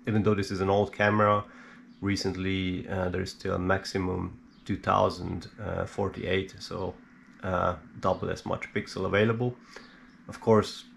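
A man giving an unscripted hands-on technical explanation in a hesitant, halting way, thinking aloud with many pauses. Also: sits low, creaky, a bit bright, slight background noise. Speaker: hobbyist presenter showing his equipment. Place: indoor room at a cluttered worktable.

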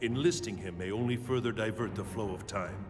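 A man playing a wise thunder god in a video game, solemn, formal and measured. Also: on the low side, natural voice. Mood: troubled. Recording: music under the voice.

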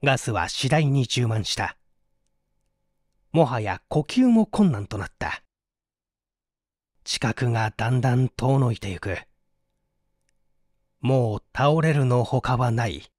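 A man's voice: medium pitch, very animated, takes it slowly, long pauses, a little mellow, no echo, studio quality. A man reading mystery fiction as an audiobook narrator, in a theatrical, suspenseful manner.

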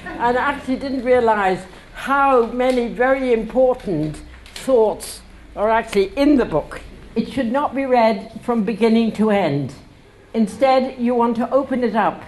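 A woman's voice: on the high side, a little mellow, rough recording. An older woman speaking at her book launch, chatty and wry, laughing between passages she reads aloud to a crowd in a bookshop. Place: indoor bookshop with a standing crowd. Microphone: stand mic at a lectern.

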